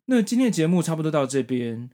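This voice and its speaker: A man's voice: on the high side, very animated, fast, mellow. A man in his forties with a Taiwanese Mandarin accent, hosting a podcast and reviewing manga in conversational narration. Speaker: podcast host.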